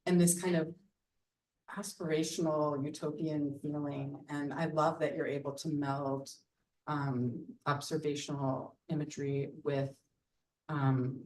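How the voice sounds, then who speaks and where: A woman's voice: deep voice, flat delivery, a little slow, a little mellow. A woman artist leading a casual public conversation at an event, hesitant with many ums and plainly enthusiastic. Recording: voice heard from far off the mic.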